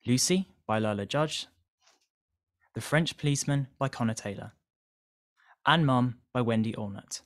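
A man works as a credits announcer. He reads from a script in a voice of average pitch. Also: normal speed, long pauses.

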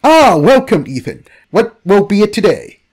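Courteous, welcoming male barber for an audio story, played by the narrator, very animated intonation. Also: medium pitch, normal speed, slightly rough. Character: courteous, evasive Sicilian barber, a man.

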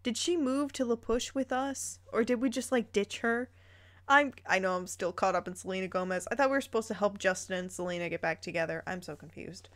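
A woman's voice: mid-range voice, a little fast, a bit bright. A woman reading a story aloud dramatically, breaking in with playful, incredulous commentary. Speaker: YouTuber reading fan fiction aloud.

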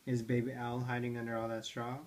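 A man's voice: mid-range voice, monotone, fairly quick, a little hiss or hum. A father reading picture books to his toddler at home, playful and coaxing, asking her questions about the pages. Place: living room armchair at home.

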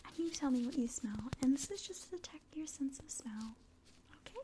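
A young woman whispering softly and breathily in a gentle, hesitant ASMR medical exam roleplay, close to the mic. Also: sits high, animated, a little fast, a little hiss or hum. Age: young adult. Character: polite, clinical alien examiner. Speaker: ASMR performer. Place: small room indoors.

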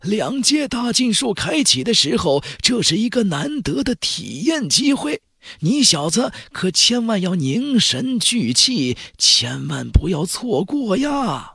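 An ancient Taoist sword spirit from a fantasy audiobook. His voice is high and raspy, full of creak, with slow drawn-out words. He admonishes like a cryptic old mentor. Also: big pitch swings, pushed and bright, no echo, studio quality, exaggerated voice. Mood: urging. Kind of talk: audiobook character voice.

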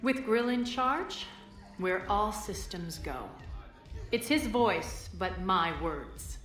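A woman playing a haughty alien crime boss in a video game, sardonic, deliberate and commanding. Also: mid-range voice, animated, a bit bright. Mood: smug, commanding. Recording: slightly roomy, music behind.

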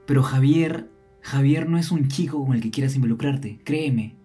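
A protective young man, played by an actor, in an audio drama. His voice is mellow and husky, earnest yet insistent and scolding, with music underneath. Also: medium pitch, moderate pace. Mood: exasperated, earnest. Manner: insistent, admonishing. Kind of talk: ASMR audio drama acting.

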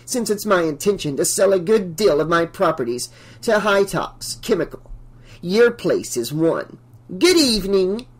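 A narrator voicing a haughty, spiteful older rich woman in an audiobook, with shrill, imperious, scornful delivery. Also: big pitch swings, measured pace. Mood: smug, cold.

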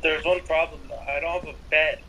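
A man's voice: on the high side, steady tone, fairly quick, pushed and bright, a little hiss or hum, poor audio. A man chatting casually as one of the players in a group game. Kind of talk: casual gaming chat.

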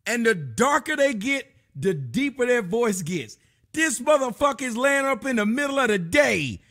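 Loud ranting man with lively pitch. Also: normal speed. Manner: loud, mocking, preachy rant. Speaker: live stream host. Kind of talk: ranting commentary on live streams. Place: home room with a fireplace.

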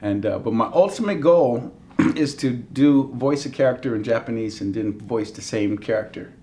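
A man's voice: medium pitch, normal speed, slight background noise. A middle-aged man, a voice actor, chatting in an interview, acting out quotes and laughing easily in a dim hotel room. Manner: animated storyteller, giddy laughter, acts out quotes. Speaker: voice actor guest. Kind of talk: casual interview chat.

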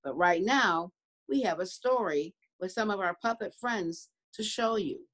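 Casting: woman in her 60s, preschool educator, unhurried, medium pitch, simple emphatic explanations. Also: fairly bright tone. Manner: deliberate, repetitive, emphatic. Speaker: early learning center educator. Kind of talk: simple explanation addressed to young children. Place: room at home, indoors.

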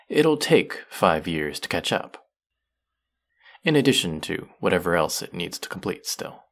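A man's voice: low-pitched, creaky, full character voice. A man voicing a smug, taunting young fantasy villain who menaces his captive in a theatrical roleplay audio monologue. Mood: cold, explanatory.

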